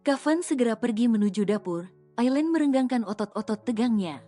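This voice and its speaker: A woman narrating a romance audio novel in a steady, smooth storytelling manner. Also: on the high side, fairly quick. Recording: soft music underneath.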